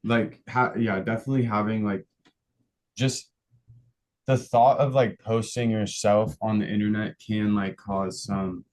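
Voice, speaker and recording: Young male co-host, lowish voice, rambling, lots of fillers, casual. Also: flat delivery, a little slow, a little mellow. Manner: rambling, filler-heavy, agreeable. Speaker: podcast co-host. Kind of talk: casual podcast chat.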